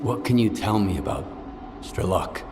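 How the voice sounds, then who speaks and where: An actor playing a serious, determined stalker in a video game, terse and plain-spoken. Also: male voice, lowish voice, moderate pace, over music, lightly acted. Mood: serious, probing. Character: serious, determined male stalker questioning others. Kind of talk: video game cutscene acting. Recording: reverberant hall, clear background noise.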